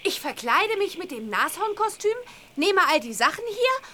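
A woman's voice: very high-pitched, big pitch swings, moderate pace, pushed and bright, slight background noise, full character voice. An actress playing a cheeky, fearless young witch girl in a children's audio play, bubbly and confident. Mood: upbeat.

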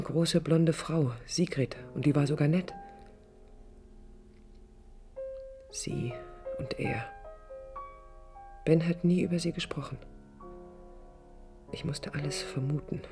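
An actress playing a wistful, jealous young dreamer in love in a 1980s radio play, speaking in a hushed, introspective way. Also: female voice, low voice, heavily acted. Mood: pained brooding. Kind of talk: 1980s radio play, intimate first-person narration. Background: music.

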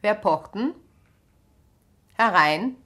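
An actress playing a grave, perceptive, caring wife in a radio drama, speaking earnestly and probingly. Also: female voice, mid-range voice, expressive, extremely slow, fairly bright tone, full character voice. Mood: courteous. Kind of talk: naturalistic German radio drama acting.

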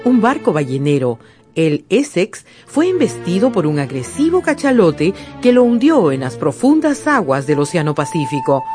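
A woman presenting a short informative radio segment, in a crisp, expressive way. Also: low voice. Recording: trumpet music behind.

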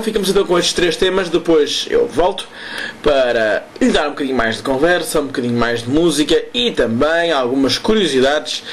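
A man with a European Portuguese accent hosting a casual radio show, hoarse from smoke, rambling and correcting himself with chuckling asides. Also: a little high, a little hiss or hum. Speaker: radio host.

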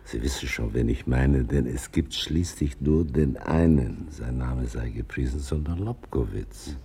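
Very deep, gravelly, sardonic older man. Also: measured pace, dark tone, exaggerated voice. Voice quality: gravelly, husky. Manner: wry, dry, theatrical. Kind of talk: radio play acting.

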